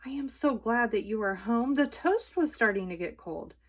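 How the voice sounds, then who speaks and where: A woman's voice: fairly high pitch, lively intonation, moderate pace, pitched above the performer's own voice. An affectionate, hospitable storybook wife, put on by a woman reading aloud. She gushes fondly in a raised voice. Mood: doting, relieved. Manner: gushing, affectionate. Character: doting, hospitable wife, Missus Watson.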